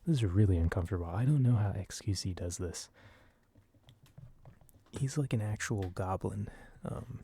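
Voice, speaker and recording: A man softly rambling in a casual ASMR style, hesitant and informal, with a breathy voice. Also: lowish voice, animated, moderate pace, long pauses. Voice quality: soft, breathy. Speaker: video creator. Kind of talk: soft-spoken ASMR ramble.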